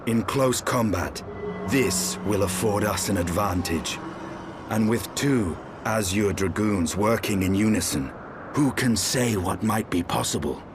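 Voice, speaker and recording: A stern, resolute knight of a fantasy game, played by a man, pitched low. He speaks in measured, archaic phrases, with clear outdoor noise behind him. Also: lightly acted. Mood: grim, measured. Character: grim, resolute male dragoon knight bent on vengeance. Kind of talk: dramatic game acting, archaic formal English.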